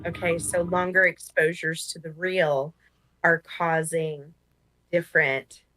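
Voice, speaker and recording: A woman with a low voice gives casual commentary as a reaction co-host. She reasons aloud in an explanatory way. Soft music sits faintly behind her. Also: little pitch movement, takes it slowly, rough recording.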